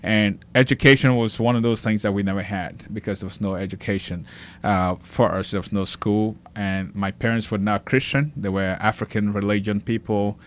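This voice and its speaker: A man's voice: fairly low pitch, fairly even intonation, normal speed, slight background noise. A man from Liberia in his forties, a guest in a studio, earnestly telling his life story with a strong faith focus.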